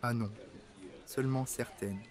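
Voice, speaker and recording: A man reading scripted workplace dialogues for French learners, in a plain, clear way. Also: medium pitch, fairly even intonation, a little slow, music in the background, natural voice.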